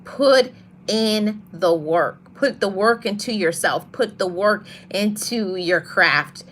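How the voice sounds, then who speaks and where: A woman hosting a motivational podcast, talking to her audience in a soft voice and repeating her key phrases for emphasis. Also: medium pitch, slow, slight background noise. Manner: greets live viewers mid-talk. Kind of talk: live-streamed motivational talk.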